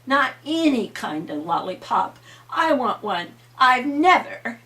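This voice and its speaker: A woman's voice: on the high side, very animated, slow, sharp tone, voice pushed up, full character voice. An older woman voicing a spoiled, picky young princess, whiny and insistent, in a bedtime story. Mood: petulant.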